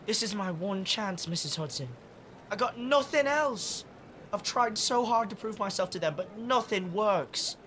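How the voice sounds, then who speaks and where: A man's voice: high voice, expressive, measured pace, fairly bright tone, a little hiss or hum. An actor playing an earnest, driven street boy in an audio drama, speaking with urgent insistence. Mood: pleading. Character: Wiggins, earnest, driven street boy leading young irregulars.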